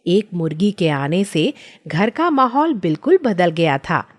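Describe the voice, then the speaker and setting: Woman narrating a kids cartoon story, slow pace, really animated pitch. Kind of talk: scripted children's story narration.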